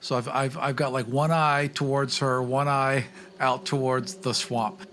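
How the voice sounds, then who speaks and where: A man's voice: medium pitch, flat delivery, a little slow, fairly bright tone, a little hiss or hum. A man playing a tabletop game, casually describing what his character does.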